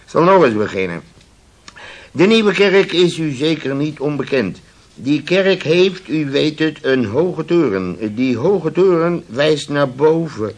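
A man's voice: on the high side, lively intonation, slow. A man acting in a radio play as a grumpy, domineering shipowner who is blustering and curt, outwardly polite when he must be. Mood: forced politeness.

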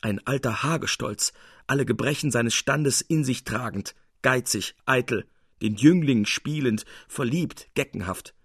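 Guy narrating an old literary story, husky voice, expressive and precise, really dry sound. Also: medium pitch, unhurried, no room sound. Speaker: narrator, reader.

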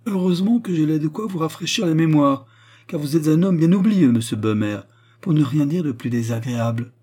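A man's voice: medium pitch, normal speed, warm tone, close to an everyday voice. A man voicing a haughty, imperious queen in a storybook reading, scornful and cutting. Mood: stern, sarcastic. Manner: imperious, scornful, mocking rhetorical questions.

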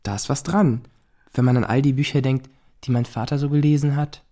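Radio play lad: a male performer as guarded, curious teenager, straightforward delivery, medium pitch. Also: steady tone, fairly quick, mellow, natural voice. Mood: assured.

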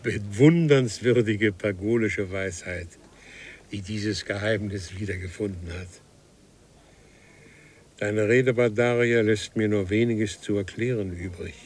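Man as low-voiced, ceremonious visitor from the future. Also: unhurried, lightly acted. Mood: solemn. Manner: solemn, formal, explanatory. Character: ceremonious, precise male time traveller from the far future. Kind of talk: German radio play acting.

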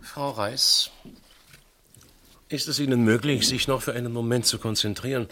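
An actor playing a dry, impatient police inspector who questions methodically, in a radio crime drama. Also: male voice, medium pitch, steady tone, normal speed, pushed and bright, a little hiss or hum, close to an everyday voice. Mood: probing.

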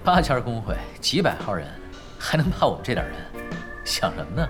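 A man's voice: mid-range voice, sing-song, normal speed, lightly acted. A man playing a laid-back, sly young gamer who teases and schemes in a nonchalant, wry way in an audio drama. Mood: calm, amused. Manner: nonchalant, wry, dry teasing. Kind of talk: Mandarin audio drama acting, conversational banter. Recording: studio drama with music underneath.

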